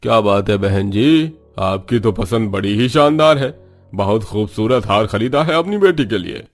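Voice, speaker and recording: A man's voice: fairly low pitch, lively intonation, moderate pace, lightly acted. A man voicing a shopkeeper in a cartoon story, ingratiating with customers and scolding with staff. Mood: obliging, curious. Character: obliging shopkeeper who yells at his lazy helper.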